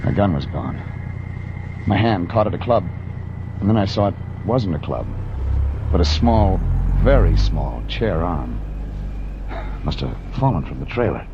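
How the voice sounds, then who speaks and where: An actor playing a wry, terse, deadpan private detective in 1940s radio drama style, on an old recording with vehicle noise. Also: male voice, sits low, dull audio. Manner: terse, deadpan, hard-boiled. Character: wry hard-boiled male private detective from Los Angeles.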